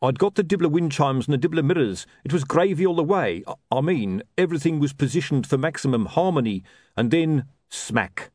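A man's voice: on the high side, expressive, brisk, a little mellow, no room sound, studio quality, voice pushed up. A man voicing a gloomy, down-on-his-luck sausage hawker in an audiobook, with colloquial, lamenting salesman's patter. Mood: rueful. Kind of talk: audiobook character voice.

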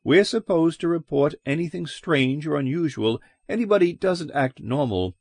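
A man's voice: on the high side, animated, moderate pace, vocal fry, a little mellow, no echo, voice pushed up. A man voicing a friendly, cautious boarding-house landlady who talks in a chatty, neighborly way.

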